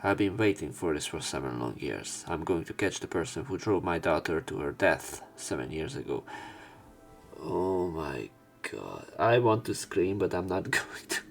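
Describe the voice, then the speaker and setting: Low-voiced man narrating a visual novel. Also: sing-song, moderate pace, creaky, faint music behind. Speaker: let's player. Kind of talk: visual novel let's play, reading text aloud with casual commentary.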